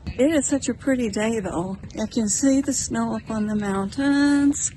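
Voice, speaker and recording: A woman's voice: on the high side, measured pace, dark tone. Musing American woman, noisy background. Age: middle-aged. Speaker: vlogger. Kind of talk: casual reflective vlog talk to camera. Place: outdoors in a park, partly in a parking lot.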